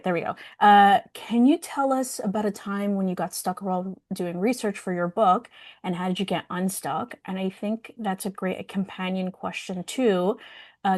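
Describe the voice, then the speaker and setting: A woman's voice: medium pitch, a little mellow. A woman moderator hosting an online question session informally and reading out questions from the audience.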